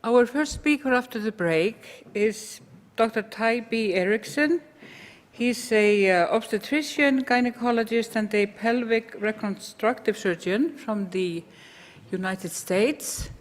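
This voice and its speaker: A woman moderating a conference, hesitant, with many fillers between phrases. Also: fairly high pitch. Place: conference hall. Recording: long hall reverb.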